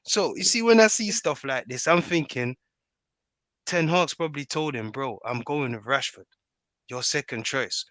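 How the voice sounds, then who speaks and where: A man's voice: medium pitch, moderate pace, sharp tone, dry recording. Slangy man, dry close sound. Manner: slangy, argumentative, repeatedly checking listeners follow. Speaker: football podcast panellist. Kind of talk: casual football debate.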